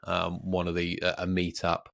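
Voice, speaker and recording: A man's voice: low voice, monotone, moderate pace, vocal fry, fairly bright tone. A British man co-hosting a podcast in casual, unscripted tech chat, rambling, jokey and easily sidetracked. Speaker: podcast co-host.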